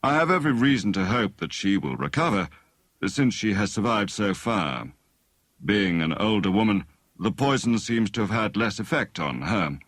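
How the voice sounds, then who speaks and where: A man voicing a kind, worried elderly doctor in an audiobook, speaking in a formal, deliberate, clinical way. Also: on the low side, sing-song, a bit bright, no room sound, clean studio sound. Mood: grave, measured.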